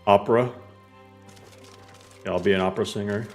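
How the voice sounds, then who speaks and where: A man's voice: lowish voice, fairly even intonation, fairly quick, faint music behind. A man giving casual, joking gameplay commentary, rambling and self-deprecating. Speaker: game streamer.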